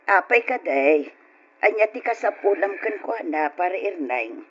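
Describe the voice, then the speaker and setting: A woman playing a worried elderly mother and grandmother with a husky, rough voice and Ilocano accent in an old radio drama. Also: on the low side, sing-song, measured pace, a bit bright, highs cut off, faint music behind. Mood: welcoming.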